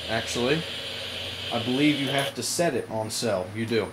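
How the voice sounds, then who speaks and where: A man giving a casual hands-on product demonstration to viewers, informal and halting, with frequent pauses. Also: mid-range voice. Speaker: product reviewer, video host. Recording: noisy amateur camera audio.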